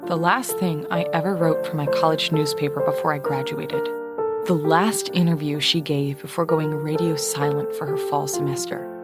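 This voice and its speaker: An actress playing a proud, combative radio journalist in an audio comedy-drama, haughty, pedantic and exasperated with precise wording. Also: female voice, low-pitched, fairly even intonation, moderate pace, loud music behind the voice. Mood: intense, accusing. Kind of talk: animated audio fiction comedy-drama acting.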